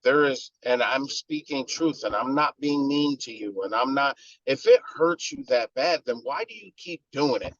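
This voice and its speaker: A man's voice: mid-range voice, animated, normal speed, few pauses, fairly bright tone, dry recording. Male co-host, heated emphatic ranting, dry close sound, a bit lo-fi. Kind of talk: casual chat.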